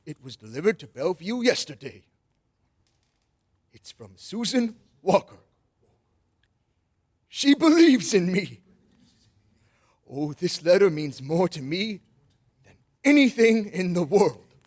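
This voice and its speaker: A man acting a kindly, jolly old Santa figure in an old-time radio drama, with an earnest, theatrical, gentle manner. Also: high-pitched, very animated, takes it slowly, long pauses, full character voice. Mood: earnest, moved.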